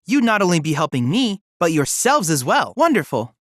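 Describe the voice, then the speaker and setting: An actor voicing a polite, eager teenage cyborg research assistant in a video game, speaking formally, precisely and cheerfully. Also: male voice, sits high, sing-song, normal speed, fairly bright tone, dry recording, studio quality, full character voice. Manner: formal, precise, courteous, chipper. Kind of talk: scripted video game character dialogue.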